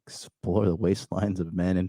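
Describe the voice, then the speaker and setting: A man's voice: low voice, flat delivery, a little slow, mellow. Sarcastic man mocking a dating clip. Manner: sarcastic, crude jokes. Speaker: podcast host reacting to a clip. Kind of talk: mocking commentary.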